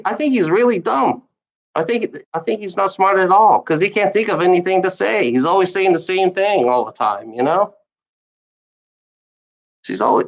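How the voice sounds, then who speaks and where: A woman streamer who stutters, ranting at her chat in a rambling, repetitive way with frequent restarts and swearing. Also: fairly low pitch. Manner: repetitive, restarts phrases, profane. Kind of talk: rambling livestream rant at chat trolls.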